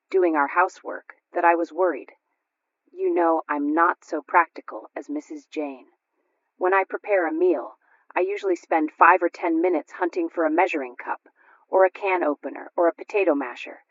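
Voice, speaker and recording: A woman's voice: sits low, moderate pace, no echo. A woman voicing a chatty, scatterbrained housewife who confides in her friends in a radio sketch. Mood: eager, chatty. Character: chatty, impractical, scatterbrained housewife at sewing club. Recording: dull lo-fi radio recording.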